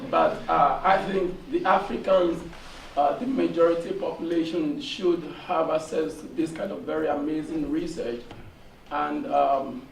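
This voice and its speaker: A hoarse man from Nigeria asks a long, earnest question from the audience, halting with many fillers. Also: a little high, fairly even intonation. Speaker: audience member asking a question. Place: seminar room. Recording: echoey room, distant, faint noise.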